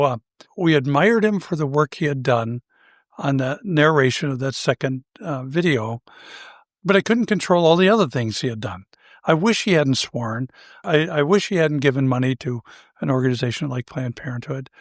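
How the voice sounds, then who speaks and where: An older man with a somewhat high-pitched voice, co-hosting a talk show and telling a personal anecdote in a relaxed, self-deprecating way, chuckling now and then. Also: no echo, studio quality. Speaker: podcast co-host. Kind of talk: conversational storytelling.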